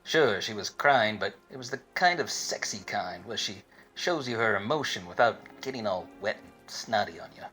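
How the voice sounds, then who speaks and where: A hard-boiled private eye played by a male actor in a 1940s radio noir spoof, speaking low and with a bright, forceful edge. He is wordy, deadpan and a bit dense, with music playing along. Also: moderate pace. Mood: smitten, solemn. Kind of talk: 1940s detective radio play parody.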